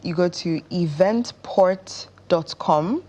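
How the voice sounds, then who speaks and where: A woman with a Nigerian accent speaking as a guest in a casual interview, explaining things in a measured way. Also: lowish voice, warm tone. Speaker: interview guest, stage actress.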